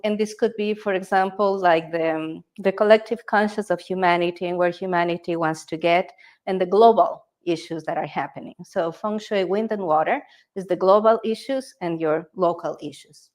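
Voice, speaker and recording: A woman's voice: medium pitch, moderate pace, a little mellow. A woman from Ecuador, giving a live workshop presentation as a feng shui consultant. She speaks conversationally and often checks in with the audience through tag questions.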